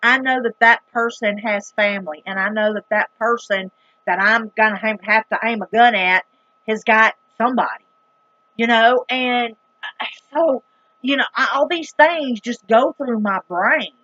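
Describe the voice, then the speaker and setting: A woman's voice: a little high, measured pace, bright, forceful tone, no room sound. Emphatic folksy Southern US woman, dull sound. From rural United States. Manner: emphatic, folksy, plain-spoken. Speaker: vlogger. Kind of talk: casual dramatic storytelling to viewers.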